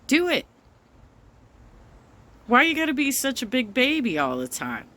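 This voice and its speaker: A middle-aged woman with some bass to her voice, speaking slowly in a rambling casual rant full of ums. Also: wildly swooping pitch, bright, forceful tone. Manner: slow-spoken, rambling with many ums.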